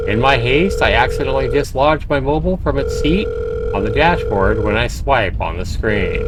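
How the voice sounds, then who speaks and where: A man livestreaming a game, switching between reading narration aloud and commenting on it. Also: medium pitch. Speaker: game streamer. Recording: faint noise behind voice.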